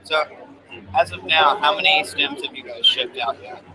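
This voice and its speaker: A man interviewing a guest, asking questions in a relaxed, friendly way. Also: on the high side, normal speed, crowd noise, poor audio. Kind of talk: live interview.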